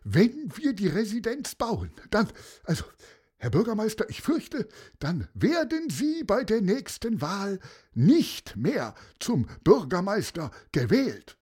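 A man's voice: high voice, wildly swooping pitch, slow pace, a little mellow, breathy edge, pitched above the performer's own voice, full character voice. A man voicing a fretful male adviser who stammers and wails with worry. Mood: panicky. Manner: stammering, wailing, breathless worry.

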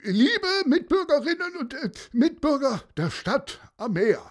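A man's voice: very high voice, sing-song, a little slow, voice pushed up, exaggerated voice. A man voicing a vain, long-winded mayor who makes grand speeches and hems and haws. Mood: pompous. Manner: pompous, speechifying, hemming with "äh".

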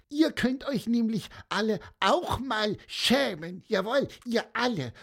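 A woman's voice: on the high side, slightly rough, pitched above the performer's own voice, exaggerated voice. A woman voicing a small strict male bird in a children's story, with a piping, scolding way of talking.

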